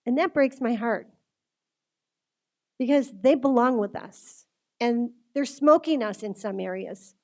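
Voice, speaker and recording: Woman, fairly high voice, informal faith speaker; earnest, conversational delivery that puts questions straight to the listener. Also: unhurried, pauses often, darkish tone. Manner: earnest, conversational, direct address with rhetorical questions. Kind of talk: informal religious talk to a group.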